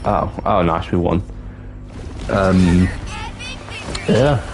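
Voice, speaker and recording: A young man in his twenties from North Wales chats casually with viewers on a livestream, halting and restarting often. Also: low-pitched. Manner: chatty, halting, with many restarts. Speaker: streamer. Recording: game music clearly behind the voice. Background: game music.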